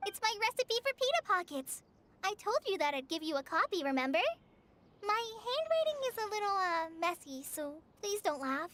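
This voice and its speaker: A woman's voice: extremely high pitch, very animated, normal speed, a bit bright, a little hiss or hum, full character voice. A woman voicing an earnest, shy teenage girl, a trainee forest ranger in a video game, speaking sincerely and a little hesitantly. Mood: shy, grateful.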